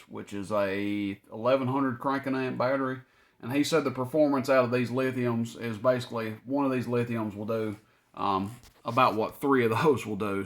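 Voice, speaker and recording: A man talking casually through shop inventory as its owner, in a plainspoken, informal, folksy way. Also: medium pitch. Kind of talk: casual product rundown.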